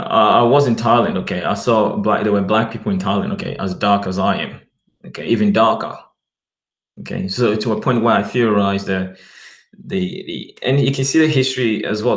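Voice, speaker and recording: A man talking casually to his viewers, rambling and often checking in with "okay" while reading web pages aloud slowly. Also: lowish voice. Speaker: vlogger. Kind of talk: casual talk to camera.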